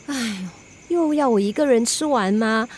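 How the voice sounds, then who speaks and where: A woman's voice: on the high side, moderate pace, breathy edge. A woman playing a caring, cheeky young granddaughter in a radio drama, with a coaxing, pleading manner. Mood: exasperated.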